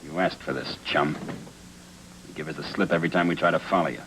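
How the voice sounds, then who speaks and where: Low-voiced, tough noir gangster. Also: male voice, steady tone, quick pace. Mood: menacing. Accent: American. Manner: slangy, menacing, wisecracking. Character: tough, sarcastic male gangster henchman. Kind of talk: 1940s film noir radio-style acting. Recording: noisy old film soundtrack.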